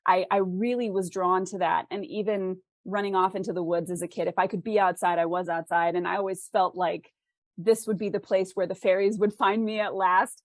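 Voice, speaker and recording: A woman's voice: lowish voice, steady tone, fairly quick, no echo. A talkative middle-aged woman chatting casually and expressively about her own work as a fantasy author being interviewed.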